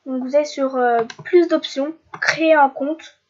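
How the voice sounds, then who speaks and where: A woman's voice: high-pitched, fairly even intonation, a little slow, dull audio. A young woman from France with a cold, talking through a screen-recorded tutorial, hesitant and full of filler sounds and restarts. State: stuffy with a cold. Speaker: YouTuber.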